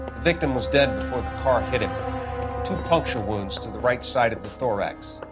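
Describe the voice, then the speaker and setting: Deadpan, seasoned coroner, played by a man. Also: medium pitch, normal speed, loud music behind the voice, lightly acted. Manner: deadpan, clinical. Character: dry, seasoned middle-aged male coroner. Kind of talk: video game drama acting.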